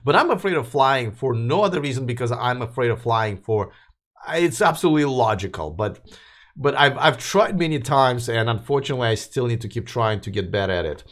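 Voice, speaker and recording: A man's voice: mid-range voice, lively intonation, fairly quick, a bit bright. A tired man hosting a casual electric car livestream. He reads out viewer comments and rambles, with many fillers and restarts. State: tired, voice wearing out. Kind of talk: casual live chat, reading viewer comments.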